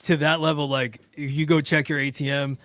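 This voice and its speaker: A man's voice: fairly high pitch, level tone, moderate pace, a bit bright, no room sound. A man co-hosting a podcast in casual, jokey banter, with a self-deprecating way of talking. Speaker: podcast co-host.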